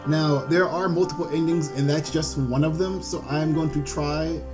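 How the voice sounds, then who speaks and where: Halting male let's player over game music. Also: a little high, steady tone. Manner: halting, stumbles and repeats phrases. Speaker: gaming video host. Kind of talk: visual novel let's play, reading aloud and commenting. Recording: music behind the voice.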